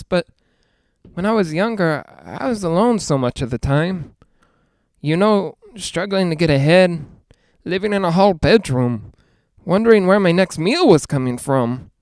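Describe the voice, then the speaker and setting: A male actor playing a nervous, lonely broadcaster in a 1940s-style radio drama, rambling and halting as he tries to reassure himself. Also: high voice, no room sound, studio quality. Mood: melancholy, lonely. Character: jittery, lonely man broadcasting alone from haunted house.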